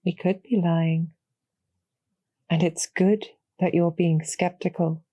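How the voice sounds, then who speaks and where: A woman playing a calm, courteous female demon general in a roleplay audio, speaking softly with measured, gently formal persuasion and a husky, creaky edge. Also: on the low side, dry recording, highs cut off. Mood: calm, persuasive. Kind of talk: slow, soothing scripted roleplay audio for sleep.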